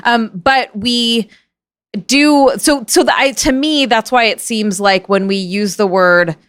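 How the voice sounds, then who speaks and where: A woman's voice: fairly high pitch, slow, a bit bright, dry recording. A woman hosting a podcast in casual chat, talking in a chatty way with frequent hesitant restarts. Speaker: podcast host.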